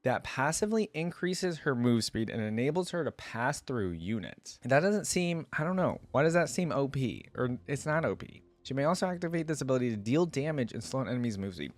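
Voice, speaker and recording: A man hosting a reaction video, giving casual, informal commentary and thinking out loud with enthusiasm. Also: mid-range voice, big pitch swings, a little fast, few pauses. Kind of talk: casual reaction commentary with some reading aloud.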